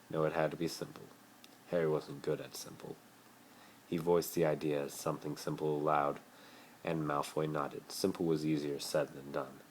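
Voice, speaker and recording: A man's voice: a bass voice, a little mellow, slight background noise, poor audio. A man narrating fan fiction as an amateur dramatic reader, recorded on a phone.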